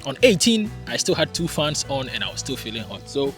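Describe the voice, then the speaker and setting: A young man from Ghana chatting casually in a vlog, animated and full of rhetorical questions and fillers, recording at home. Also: medium pitch, brisk, faint music behind. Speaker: vlogger. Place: home in hot, humid climate.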